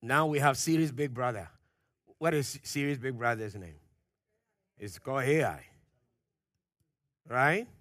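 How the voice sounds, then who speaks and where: A man preaching a sermon, pressing his points with questions to the congregation, loud at the peaks and dropping low and quiet at phrase ends. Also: expressive, a little slow, long pauses, dry recording, studio quality. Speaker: pastor.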